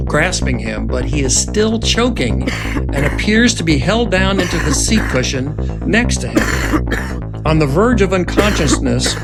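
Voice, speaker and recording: A man with a slightly high voice narrates over prominent instrumental music. He is the host of a script reading and speaks in a deliberate, suspenseful storyteller's way. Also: gravelly. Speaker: writer-director hosting and narrating a script reading. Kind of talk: dramatic narration of screenplay action, then discussion. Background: loud instrumental music.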